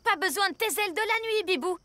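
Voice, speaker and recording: A woman's voice: very high voice, quick pace, bright, forceful tone. A woman voicing a brave, boastful young superhero boy in a French children's cartoon, speaking emphatically. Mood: cocky. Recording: music behind the voice. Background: cartoon score.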